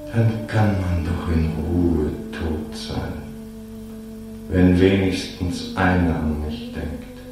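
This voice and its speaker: A man acting in a 1940s radio play as a dead man haunting his murderer, solemn, halting and accusing. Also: deep voice, big pitch swings, exaggerated voice. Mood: eerie calm. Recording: old radio recording, heavy echo, loud music.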